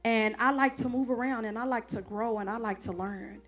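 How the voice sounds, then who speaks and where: Brief: lively woman co-host, a bit high, faint music under her. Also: fairly quick. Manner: lively, teasing, emphatic. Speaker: church co-host. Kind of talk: casual church chat.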